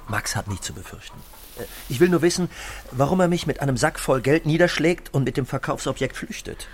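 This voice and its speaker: An actor playing a slick, self-assured middle-aged car dealer in a radio drama, smooth-talking and expressive. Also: male voice, fairly high pitch, brisk, slight background noise, natural voice. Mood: indignant. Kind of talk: German audio drama acting.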